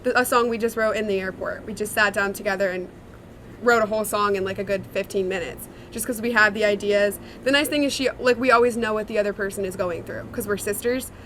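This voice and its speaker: A woman's voice: medium pitch, steady tone, quick pace, fairly bright tone, slight background noise, low-quality recording. A young woman, a singer-songwriter, answering interview questions in a chatty, reflective way with many fillers. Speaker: songwriter and singer in a sister duo.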